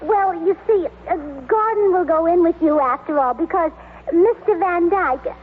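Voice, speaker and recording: A woman's voice: very high voice, normal speed. An actress playing a scatterbrained, eager young wife in an old-time radio comedy, gushing and stammering as she goes. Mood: flustered, apologetic. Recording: noisy, tinny old-time radio recording.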